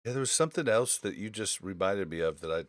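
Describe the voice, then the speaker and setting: A man hosting a casual gaming podcast, talking informally and thinking aloud in halting phrases. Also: fairly low pitch, expressive, no room sound, clean studio sound. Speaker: podcast host.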